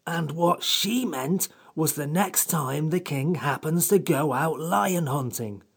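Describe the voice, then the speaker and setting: Gravelly, slow, haughty sand fairy. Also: male voice, fairly high pitch, pitched above the performer's own voice, full character voice. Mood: withering sarcasm. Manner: slow, withering, pompous drawl. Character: grumpy sarcastic old sand fairy creature. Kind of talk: audiobook character voice.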